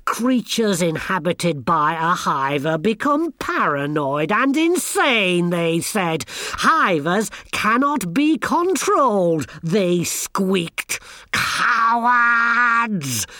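A pompous male wizard scholar, raving with grandiose megalomania. The voice climbs high and swings wildly, drawing out its words with indignant emphasis. It is a fantasy storybook villain in a dry, close recording. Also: bright, forceful tone, no room sound, heavily acted. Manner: grandiose, indignant, overwrought, drawn-out emphatic delivery.

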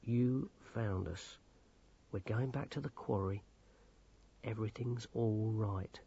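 A man voicing a gentle, hesitant older male gnome who speaks soothingly in a storybook. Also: fairly low pitch, unhurried, creaky, husky, muffled recording, voice pushed down, full character voice.

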